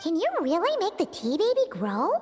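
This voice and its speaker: A woman voicing a tiny, excitable, inquisitive fairy companion with a squeaky childlike voice in an anime game. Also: moderate pace, full character voice. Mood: doubtful. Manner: speaks of self in third person, exclamatory. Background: game music.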